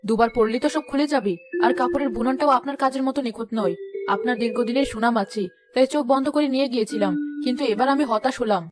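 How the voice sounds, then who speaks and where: A woman's voice: on the high side, quick pace, over music, natural voice. A woman voicing an exacting middle-aged village customer in a moral cartoon, speaking frankly, firmly and politely. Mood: disappointed. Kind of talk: moral-story cartoon dialogue.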